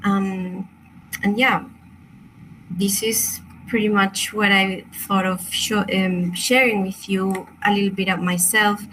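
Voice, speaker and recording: A young woman from Chile with a Spanish accent, presenting an online crystal workshop in English, soft-spoken and hesitant with many fillers and restarts. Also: medium pitch, flat delivery, takes it slowly, background noise.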